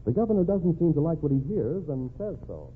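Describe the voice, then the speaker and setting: An actor playing the theatrical, formal announcer and scene-setting narrator of an old-time radio mystery show. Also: male voice, a little high, very animated, a little fast, husky, full character voice. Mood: dramatic. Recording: tinny vintage radio recording.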